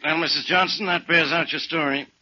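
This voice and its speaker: An actor playing a brusque, irritable police inspector in a 1940s radio drama, gruff and sarcastic, on an old recording. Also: male voice, a little high, fairly even intonation, a little fast, sharp tone, lo-fi sound. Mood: brisk, weary.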